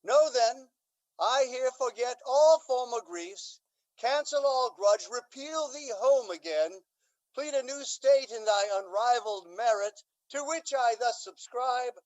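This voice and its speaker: An actor playing a dignified, magnanimous Duke in a Shakespearean radio drama, speaking in a stately, declamatory manner. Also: male voice, very high-pitched, slow, darkish tone, low-quality recording. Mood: solemn, approving. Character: dignified, magnanimous noble Duke, an indulgent father. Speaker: amateur stage actor.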